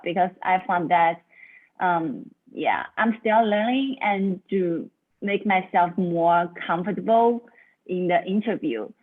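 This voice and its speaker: A young woman student, a native Chinese speaker from China, introducing herself in careful second-language English with many "kind of like" phrases. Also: on the low side. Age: young adult. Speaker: student volunteer. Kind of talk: self-introduction. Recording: tinny phone-like call audio.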